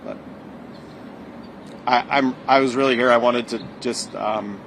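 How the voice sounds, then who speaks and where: A man with a mid-range voice speaks to reporters outside, hesitant and stumbling over restarts. Steady hum and traffic noise sit clearly behind him. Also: medium pitch, unhurried, poor audio. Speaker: interviewee speaking to media. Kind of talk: press interview.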